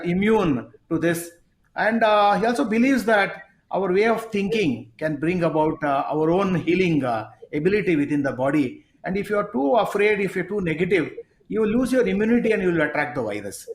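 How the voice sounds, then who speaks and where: A man with an Indian English accent, hosting a sports talk show and speaking haltingly with many fillers. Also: high voice, lively intonation. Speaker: talk show host, sports psychology coach. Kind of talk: conversational interview talk. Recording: roomy echo.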